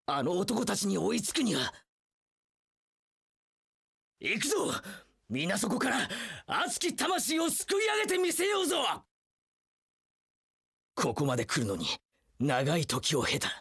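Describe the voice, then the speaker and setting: An actor playing an earnest, hot-blooded young samurai general in a video game, declaiming in archaic speech with a husky voice. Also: male voice, high voice, wildly swooping pitch, a little slow, pauses often, fairly bright tone, no echo, clean studio sound, full character voice. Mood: fervent, shouting. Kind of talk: video game character voice lines.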